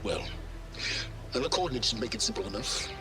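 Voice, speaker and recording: An actor playing an arrogant middle-aged scholar in a video game, measured, theatrical and smugly condescending. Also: male voice, mid-range voice, expressive, natural voice. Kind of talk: video game cutscene acting. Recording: music behind the voice. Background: game music.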